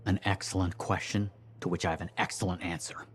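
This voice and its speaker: An actor playing an obsessive, excitable scholar of ancient relics in a video game, with florid, dramatic phrasing. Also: male voice, low-pitched, a little fast, a little hiss or hum, lightly acted. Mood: triumphant. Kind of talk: video game character dialogue.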